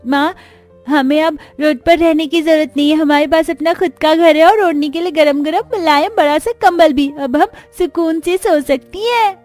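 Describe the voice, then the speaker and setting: A woman's voice: high-pitched, normal speed, a little mellow, faint music behind, pitched above the performer's own voice, lightly acted. A woman voicing an earnest, vulnerable young daughter of a poor family in a cartoon story. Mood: relieved. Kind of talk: Hindi cartoon story acting.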